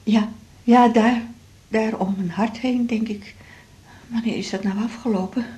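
A woman's voice: on the high side, steady tone, measured pace, warm tone, natural voice. An actress playing an anxious but proud older woman with a heart condition in a radio drama, frank and motherly, with a Netherlands Dutch accent. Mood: frightened, pained.

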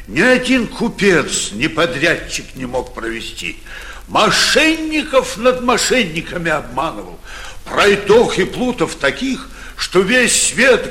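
An actor playing an outraged, humiliated old provincial mayor in a theatrical, exclamatory 1940s Russian stage style. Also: male voice, high-pitched, bright, forceful tone, full character voice. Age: older. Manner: theatrical, exclamatory, self-berating. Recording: 1949 theatre radio production.

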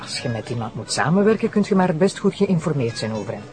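Arch, animated Flemish prosecutor. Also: female voice, low voice, fast, soft background music. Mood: arch, confident. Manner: formal, arch. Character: self-assured, teasing female deputy prosecutor. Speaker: deputy public prosecutor. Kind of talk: audio drama acting.

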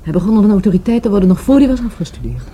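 An actress playing a wistful, grieving lover in a radio play, hesitant and halting as she searches for words. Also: medium pitch, sing-song, warm tone, slightly rough. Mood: wistful, earnest. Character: grieving, wistful lover of a murdered market trader. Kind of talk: Dutch radio play acting.

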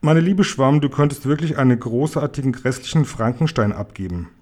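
Casting: sneering, irritable, bony aunt acted by a male narrator, haughty and cutting, pitched up at times, children's story. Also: animated, a little fast, a little mellow, natural voice. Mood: haughty, mocking. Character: vain skinny cruel aunt, sneering and irritable.

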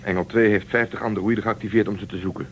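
A man playing a worried, serious crewman in a science fiction radio play. He speaks low and measured, in a slightly muffled recording with a faint hum. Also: fairly even intonation, lightly acted. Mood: grave, informing. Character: grave, worried male spaceship crew member. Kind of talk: Dutch radio drama acting.

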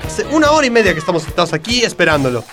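An actor playing a naive, excitable detective's sidekick in a parody radio play. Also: male voice, sits high, very fast. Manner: eager, exclamatory, naive. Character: excitable dim-witted male sidekick of detective. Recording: music behind the voice.

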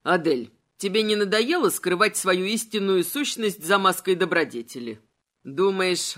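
A woman voicing a cold, manipulative male immortal villain in an audiobook, insinuating and mocking in an unhurried way. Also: lowish voice, a bit bright, voice pushed down.

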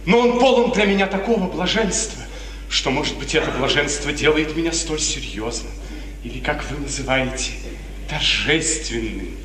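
A lovesick young count, acted by a man in a 1950s radio tragedy, speaks in a fairly high voice with lofty, ardent, melancholy solemnity. The recording is distant and noisy, ringing like a hall. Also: lightly acted. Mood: solemn bliss. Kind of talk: 1950s radio theatre acting, declamatory tragedy.

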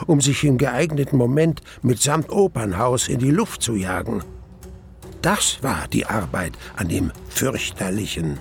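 An actor playing a grave man who recounts mortal terror, narrating a radio play deliberately and with weighted pauses. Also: mid-range voice, creaky. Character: grave male narrator recalling his mortal terror in a cellar. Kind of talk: dramatic first-person radio play narration.

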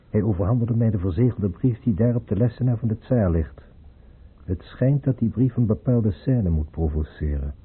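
A cautious court chamberlain in an old radio drama. He speaks formally in a low, husky voice, muffled by the old recording. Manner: formal, measured, deferential. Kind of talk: Dutch radio play acting.

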